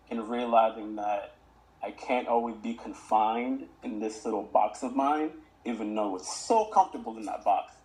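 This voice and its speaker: A man in his twenties, born in Uganda and raised in the Washington DC area, speaking as a podcast guest in a measured, reflective way with frequent "um"s. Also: lowish voice, a little mellow, poor audio. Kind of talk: casual interview talk.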